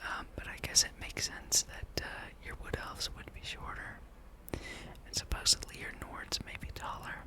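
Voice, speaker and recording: A person speaking in a breathy whisper, gently rambling and musing aloud while hosting an ASMR gameplay video. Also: moderate pace. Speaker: gamer and video host.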